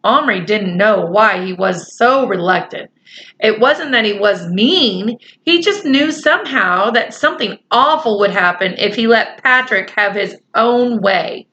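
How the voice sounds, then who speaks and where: Slow, bright woman reading a storybook. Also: medium pitch, animated. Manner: chatty asides to listeners. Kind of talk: reading a children's book aloud.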